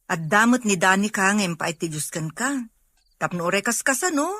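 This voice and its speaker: Woman acting concerned, gentle younger sister. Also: medium pitch, expressive, normal speed, no echo. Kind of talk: amateur Filipino audio drama acting.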